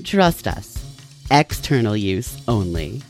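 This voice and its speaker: Deadpan radio announcer over music. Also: male voice, on the low side, slow pace, exaggerated voice. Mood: dry, official. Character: polished in-world radio sponsor announcer, dryly earnest. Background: drum music.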